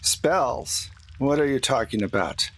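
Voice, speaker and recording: A man voicing a worried, apologetic troll who gives grave, measured warnings in a tabletop game. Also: mid-range voice, expressive, fairly bright tone, exaggerated voice. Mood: puzzled. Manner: measured, halting, explanatory.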